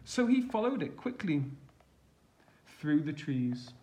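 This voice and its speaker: A man with a slightly high voice reads a picture book aloud for children. He is expressive and deliberate, like a teacher, and leans on the action words. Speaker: teacher and storyteller. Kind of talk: reading a children's storybook aloud.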